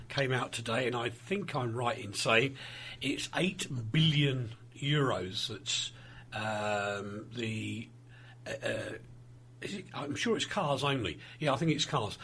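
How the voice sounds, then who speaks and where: A man's voice: medium pitch, a bit bright, breathy edge. A man hosting a podcast, chatting casually and adding facts from memory with some hesitation. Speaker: podcast host. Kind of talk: casual chat.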